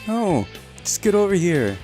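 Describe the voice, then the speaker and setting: A man voicing a playful, bossy, teasing girl from a visual novel in a high, bouncy voice. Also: very animated, measured pace, dark tone, soft background music. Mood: bossy, coaxing.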